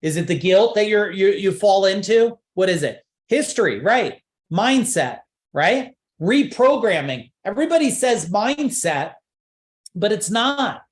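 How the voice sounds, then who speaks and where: A man's voice: sits high, big pitch swings, unhurried, reverberant. A man coaching a live online seminar, pushing emphatic rhetorical questions and repeating his points. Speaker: business coach.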